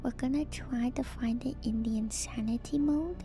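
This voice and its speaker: A woman streamer speaking in a soft, breathy ASMR whisper, reading aloud and adding casual, musing comments. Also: on the high side, normal speed. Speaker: streamer and host. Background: music.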